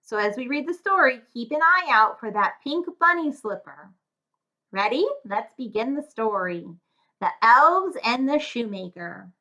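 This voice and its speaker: A woman's voice: a little high, lively intonation, a little slow, pushed and bright, poor audio. A woman reading a rhyming fairy tale aloud to children in a sing-song way, often turning to her young listeners with questions. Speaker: children's story reader and tutor.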